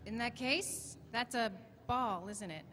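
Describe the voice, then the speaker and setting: A woman's voice: fairly high pitch, takes it slowly, fairly bright tone. A woman playing a plucky, streetwise 1920s sidekick in a staged drama, plain-spoken, slangy and sassy. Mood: plucky, wry. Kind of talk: audio-drama style stage acting. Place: large convention hall stage. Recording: echoey hall stage recording with noise.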